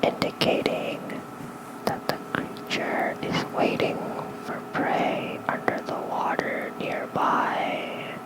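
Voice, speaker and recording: An ASMR host reading lore in a soft, breathy whisper into a close microphone, unhurried and halting, with informal asides. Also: low-quality recording. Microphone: close fluffy-covered ASMR microphone.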